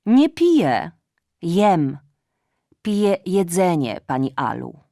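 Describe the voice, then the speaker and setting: A woman's voice: fairly low pitch, big pitch swings, slow pace, gravelly, dark tone, no room sound, studio quality, heavily acted. Woman doing a fawning talking tomcat. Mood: wheedling.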